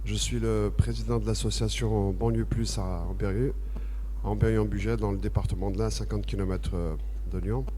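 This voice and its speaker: A man from France giving a personal testimony at a public debate, halting and repetitive at first, then impassioned and emphatic. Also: fairly low pitch, fairly even intonation, mellow, slight background noise. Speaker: association president speaking at a public debate. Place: debate hall with audience. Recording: long reverb of a large hall.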